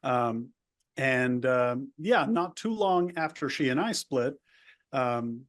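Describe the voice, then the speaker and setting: A man's voice: medium pitch, lively intonation, slow, a bit bright. A man from the El Paso border area, a native Spanish speaker, telling his life story in a rambling, casual interview with many restarts. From United States, El Paso border area. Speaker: guest.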